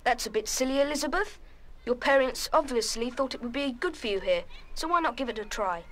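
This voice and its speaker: An actress playing a calm, fair-minded British head pupil in a radio play, speaking in a firm, businesslike way. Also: female voice, high-pitched, a little fast. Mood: reasoning, patient. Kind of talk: full-cast radio play acting.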